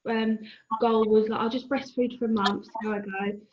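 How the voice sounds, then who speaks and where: A woman's voice: a little high, fairly quick, breathy edge. Hesitant young woman on a dull call line. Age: 20s. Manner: hesitant, many ums, even tone. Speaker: guest mother. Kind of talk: casual video call chat.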